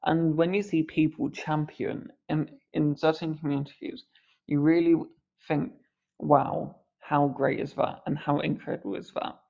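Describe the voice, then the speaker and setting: Earnest podcast host, gravelly, muffled. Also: male voice, fairly high pitch, little pitch movement, unhurried. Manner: earnest, mild stammer on odd words. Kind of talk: reflective podcast commentary.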